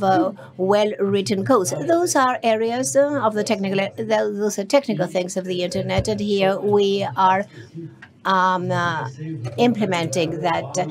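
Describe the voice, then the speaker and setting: Woman interpreting live, halting delivery. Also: mid-range voice. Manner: halting, many fillers and restarts. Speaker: simultaneous interpreter. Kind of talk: live interpretation of a conference speech. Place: conference hall.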